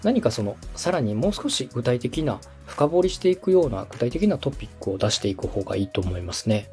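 A man's voice: medium pitch, very animated, fairly quick, a little mellow, soft background music. A man hosting and advising in a polite, encouraging way, explaining things step by step in a conversational coaching style. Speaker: podcast host and production adviser.

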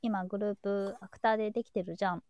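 A woman's voice: mid-range voice, fairly even intonation, very fast, a little mellow, a little hiss or hum. A woman hosting a live show in casual, unscripted chat.

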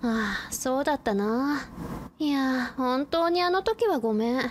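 Woman playing a high-voiced blunt tomboy schoolgirl. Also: expressive, measured pace. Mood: apologetic, pleading. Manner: brusque, boyish speech. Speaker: supporting actor. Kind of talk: anime-like radio drama acting. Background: ambient noise.

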